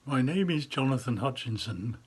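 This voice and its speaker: A man in his eighties giving a measured, formally worded personal account as a charity supporter. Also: medium pitch, expressive, breathy edge. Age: 80s.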